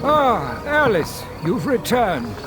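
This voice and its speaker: An actor voicing a weary, grave creature who gives solemn, oracular counsel in a dark fantasy video game. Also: male voice, high-pitched, huge pitch swings, takes it slowly, music in the background, full character voice. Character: weary, grave wise creature guiding a girl.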